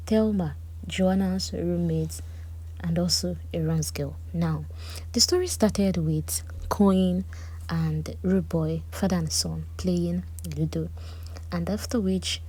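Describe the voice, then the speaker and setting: A woman gives a chatty, animated recap of a reality-show drama, with personal asides. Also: fairly low pitch, slightly rough, rough recording. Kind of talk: casual recap commentary.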